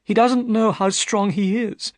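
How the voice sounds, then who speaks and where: A man's voice: sits high, steady tone, measured pace, no room sound, voice pushed up. A man voicing a frightened young woman who pleads for help, with earnest, breathless delivery. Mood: anxious. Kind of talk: audiobook character reading.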